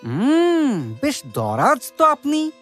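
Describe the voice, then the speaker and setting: A woman's voice: high voice, wildly swooping pitch, slow, faint music behind, heavily acted. A woman playing a clever, confident male court jester in a cartoon, crafty and commanding. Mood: brisk. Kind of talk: cartoon comedy dialogue.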